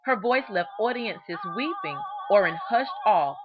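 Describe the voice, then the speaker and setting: A woman reading a children's biography aloud in an expressive, deliberate storytelling manner, stressing key words. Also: a little high, music in the background. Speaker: storybook reader.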